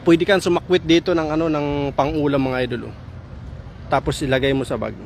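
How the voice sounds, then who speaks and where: A man's voice: on the high side, fairly quick, vocal fry, a little hiss or hum. A man from Samar vlogging casually on a phone as he walks through a park by a pond, chatting and exclaiming to his viewers. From Samar, Philippines. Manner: chatty, excited exclamations, calls viewers "idol". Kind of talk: casual walking vlog, talking to viewers. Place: outdoor park by a pond, daytime.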